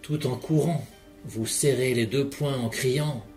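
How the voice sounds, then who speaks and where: A man leading a guided relaxation session in a calm, measured voice, speaking directly to the listener. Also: mid-range voice. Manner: calm, measured second-person guiding. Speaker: hypnotherapist guide. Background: soft background music.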